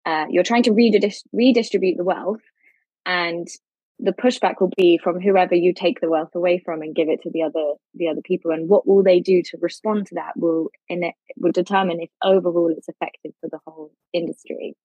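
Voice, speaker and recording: A woman with a husky, fairly low voice explains as a legal expert guest. She talks informally, and the recording is dry but dull and muffled. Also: steady tone, a little fast, dark tone, no room sound.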